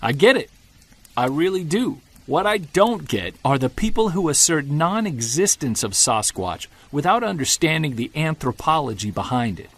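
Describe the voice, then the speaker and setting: An earnest, sardonic male Bigfoot believer played by an actor delivers an argumentative audio drama monologue. His slightly high voice swings with lively pitch as he presses each indignant point. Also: exaggerated voice. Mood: exasperated, indignant. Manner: emphatic, argumentative, sardonic, rhetorical. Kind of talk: audio drama monologue, podcast-style rant.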